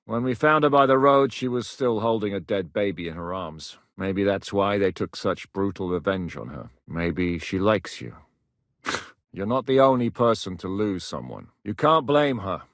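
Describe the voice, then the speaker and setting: A man voicing a blunt, steady mercenary captain in a video game, gruff and plain-spoken, recorded in a dry studio booth. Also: fairly low pitch, normal speed, no room sound. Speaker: mercenary leader.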